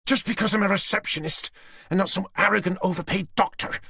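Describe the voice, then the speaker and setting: A man's voice: animated, moderate pace, breathy edge, no room sound, clean studio sound. A man voicing a deranged, taunting female kidnapper in an audiobook. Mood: contemptuous. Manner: menacing, mocking, shrill when angry.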